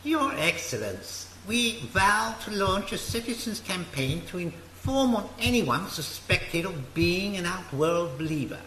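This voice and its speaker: A man's voice: sits high, very animated, unhurried, few pauses, sharp tone, full character voice. A man playing a zealous, deferential minister of a dystopian government in a radio play, speaking formally. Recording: echoey, faint noise.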